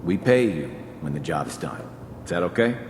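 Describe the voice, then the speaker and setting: A tentative, shifty fellow out to hire muscle in a video game. He talks haltingly and folksily, in a low voice that swings up and down. A big echo surrounds him. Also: big pitch swings, background noise. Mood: nervous, sly. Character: hesitant, shifty male client hiring a killer.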